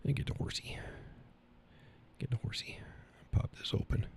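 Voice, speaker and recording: A man's voice: moderate pace, a little mellow, a little hiss or hum. A man with a stuffy nose, hosting a casual live stream, rambling in a folksy way and reading chat messages aloud. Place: home workshop room.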